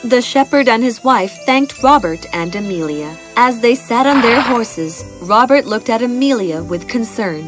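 Female fairy tale narrator, scripted storytelling, higher-pitched voice, background music. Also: expressive, measured pace, few pauses. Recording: music bed behind the narration.

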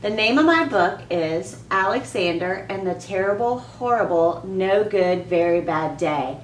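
A woman reading coach giving an expressive children's read-aloud, pausing to put questions to young listeners. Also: medium pitch. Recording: roomy, distant mic, faint background noise.

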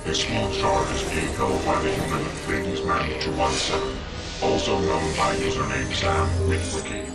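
An actor playing a cold robot intelligence officer in a video game, reporting in a formal, clinical manner. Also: male voice, extremely low pitch, moderate pace, long pauses. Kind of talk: video game briefing narration. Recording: loud music over voice.

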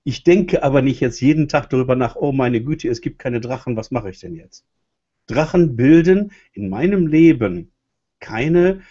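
Husky, mellow-voiced man chatting loosely. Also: mid-range voice, expressive, moderate pace. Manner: loose, chatty, with fillers and restarts. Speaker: co-host. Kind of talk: casual spoken commentary. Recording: dull, lo-fi sound.

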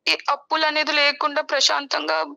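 A woman's voice: high voice, completely flat delivery, a little fast, vocal fry, crowd noise. A young woman giving a personal account as a caller in a counselling interview. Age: young adult.

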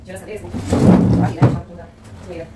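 A woman's voice: on the low side, level tone, music in the background. Chatty young woman in an echoey room. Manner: chatty, addresses viewers directly, pauses to rest while working. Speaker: home vlogger. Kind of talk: casual cleaning vlog narration. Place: large bare tiled dining room and kitchen at home, indoors. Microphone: camera across the room. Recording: echoey room, heard from a distance.

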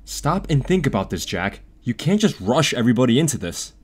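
A man's voice: mid-range voice, big pitch swings, brisk, slightly rough. A man playing a cautious, sensible young newsboy who reasons earnestly in an audio drama. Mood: worried.

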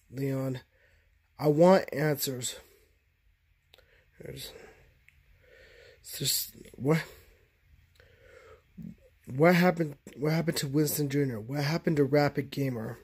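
A man hosting a casual improvised plush puppet skit, with halting, repetitive delivery. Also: on the high side, darkish tone, breathy edge. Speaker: host of puppet reaction videos. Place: carpeted bedroom.

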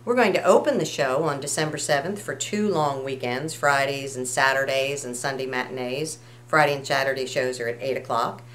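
Middle-aged lady promoting a show to camera, low voice, some hum. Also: a little fast, few pauses, a bit bright. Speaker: community theater representative. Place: indoor room, camera a few feet away. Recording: noticeable hum and noise.

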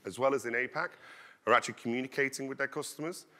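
A man in his thirties giving an energetic conference talk, projecting his voice as he walks the stage on a headset mic. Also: medium pitch, quick pace. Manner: loud, projecting voice. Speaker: conference speaker. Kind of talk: conference presentation. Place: large conference hall stage. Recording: long hall reverb.

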